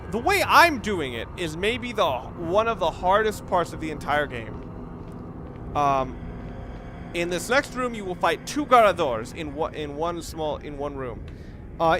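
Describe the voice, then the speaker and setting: A man giving off-the-cuff, rambling walkthrough commentary on a video game, instructive and often correcting himself. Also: a little high. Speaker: gamer giving a let's play commentary. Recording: noisy, game gunfire behind. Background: video game ambience and gunfire.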